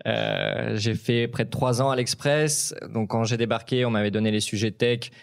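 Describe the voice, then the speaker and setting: A man's voice: on the low side, steady tone, normal speed, vocal fry. A young man of Ukrainian origin, a tech journalist on a talk show panel, speaking hesitantly with many fillers. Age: young adult.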